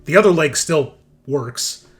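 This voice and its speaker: A man's voice: a little high, animated, unhurried. A man running a tabletop roleplaying game, narrating off the cuff in a casual, vividly descriptive way. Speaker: game master.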